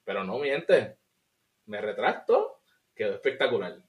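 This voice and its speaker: A man's voice: on the low side, very animated, moderate pace, phone-quality sound. Puerto rican guy cohosting a movie podcast, laughs a lot, mixes in english. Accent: Puerto Rican Spanish. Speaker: podcast co-host. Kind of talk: casual chat, film review.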